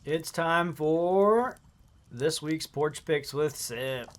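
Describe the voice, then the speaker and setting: A man's voice: a little high, extremely slow. A man hosting a podcast with casual, colloquial sports banter. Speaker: podcast host.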